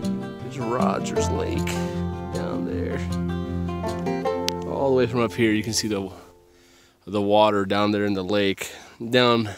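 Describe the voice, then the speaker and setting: A man narrating casually while hiking a pine forest trail with his dogs, chatty and relaxed, recorded outdoors on a handheld camera. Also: on the low side, slow, pauses often, over loud music. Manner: laid-back, chatty, talks to his dogs. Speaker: hiking vlogger.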